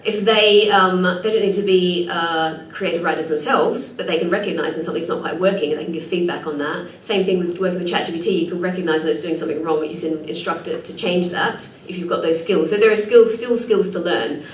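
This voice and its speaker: A woman presenter at a conference, talking quickly and thinking aloud with fillers while answering questions. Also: on the low side, steady tone. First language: English. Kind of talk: conference talk and Q&A. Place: conference room. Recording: echoey room, distant mic, faint noise.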